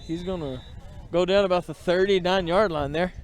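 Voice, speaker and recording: A man doing color commentary at a high school football game outdoors, easygoing and laughing often. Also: moderate pace.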